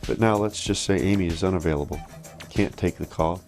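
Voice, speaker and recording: A man demonstrating an office product in a casual, halting, think-aloud way, like a hands-on walkthrough. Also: deep voice, darkish tone, faint music behind. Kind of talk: casual hands-on phone system demo.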